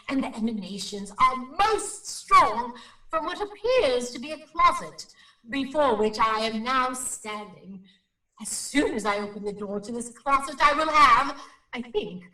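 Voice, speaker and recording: High-voiced, dramatic psychic investigator. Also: female voice, bright, forceful tone, exaggerated voice. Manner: formal, precise, dramatic reporting. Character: solemn, self-important female psychic investigator. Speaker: actor playing a paranormal investigator. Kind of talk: radio drama acting. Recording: roomy, slightly distant microphone.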